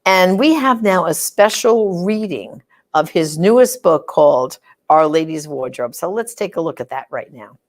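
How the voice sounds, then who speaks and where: A woman's voice: on the low side, lively intonation, normal speed. A middle-aged woman hosting a religious program, speaking in a conversational, explanatory way and stressing key words as she gives a devotional talk.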